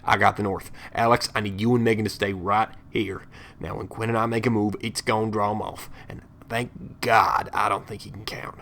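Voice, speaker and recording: A man's voice: lowish voice, little pitch movement, normal speed, fairly bright tone, slight background noise. A man playing a hardened, resolute leader of dragon slayers in an audio drama, giving terse orders. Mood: grim, commanding.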